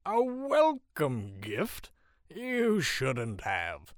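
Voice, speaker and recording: Slurring, drunken roguish sea captain. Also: male voice, mid-range voice, lively intonation, no room sound, studio quality. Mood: mocking. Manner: slurred, snarky, jesting, drawn-out. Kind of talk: audiobook character acting.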